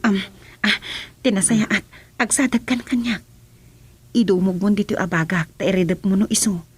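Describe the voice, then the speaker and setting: An actress playing a caring, coaxing wife in an Ilocano radio drama-comedy, with an Ilocano accent. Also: female voice, mid-range voice, steady tone, normal speed, slightly rough. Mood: tender. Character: caring, coaxing wife nursing her sick husband.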